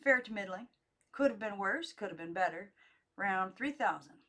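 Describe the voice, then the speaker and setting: A woman's voice: mid-range voice, expressive, moderate pace, bright, forceful tone. A woman voicing an easygoing, plain-spoken male gold miner in an audiobook, with hearty, folksy phrasing. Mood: matter-of-fact. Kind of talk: audiobook character dialogue.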